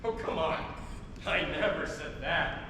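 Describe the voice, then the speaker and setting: A man's voice: on the high side, a little slow, gravelly. A young man, a student actor, playing an evasive, absent-minded jazz musician husband and father on stage, defensive and dismissive. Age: young adult. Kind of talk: theatrical stage acting. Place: large indoor theatre stage. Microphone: distant recorder. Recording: echoey noisy auditorium from far off.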